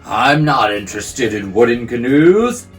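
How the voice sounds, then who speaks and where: A man voicing a grumpy, pompous male bear who talks loudly and huffily in a storybook reading. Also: on the high side, animated, measured pace, faint music behind. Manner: loud, huffy, commanding.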